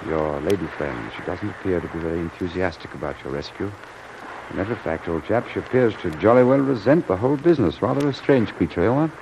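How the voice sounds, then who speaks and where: Casting: chatty British naval officer, lowish voice, clipped and hearty; noisy vintage radio sound. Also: male voice, quick pace. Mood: frank, confiding. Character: genial British Royal Navy submarine commander, frank and chatty. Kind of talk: old-time radio drama acting. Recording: muffled, noisy old radio recording.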